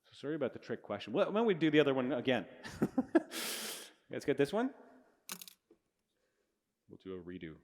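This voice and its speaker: Middle-aged male lecturer, loose and self-correcting, mid-range voice, booming lecture-hall echo. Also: big pitch swings, a little fast, pauses often, a little mellow. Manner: casual, self-correcting explainer with chuckles. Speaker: physics lecturer. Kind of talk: live university lecture. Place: large lecture hall, indoors, with students. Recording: long reverb of a large hall.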